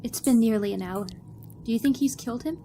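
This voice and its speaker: A woman's voice: fairly high pitch, brisk, warm tone, slight background noise, lightly acted. An actress playing an anxious young newlywed woman held captive in an old-time radio thriller. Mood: worried.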